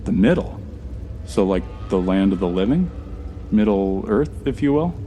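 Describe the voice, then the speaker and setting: A man's voice: fairly low pitch, animated, moderate pace, dark tone, soft background music, natural voice. A man with an American accent playing an earnest, stranded time traveler in an audio drama. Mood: friendly, curious. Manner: conversational, earnest. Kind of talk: first-person audio drama acting.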